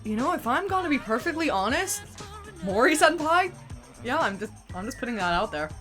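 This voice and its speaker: A woman's voice: sits high, big pitch swings, brisk, fairly bright tone, faint music behind. A woman voicing a down-to-earth, blunt teenage tomboy student in an anime parody dub, with dry delivery. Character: sardonic, down-to-earth teenage girl passing as boy student.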